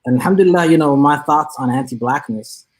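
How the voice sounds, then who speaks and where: A man's voice: on the high side, a little fast, poor audio. A man speaking as a college counseling professor on a panel discussion, earnest and academic, with frequent restarts.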